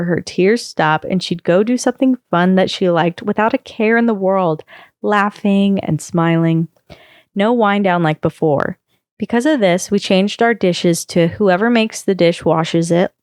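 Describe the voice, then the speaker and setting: A woman reading a long first-person post aloud on a podcast, in a steady storytelling manner. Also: on the low side, no room sound, studio quality.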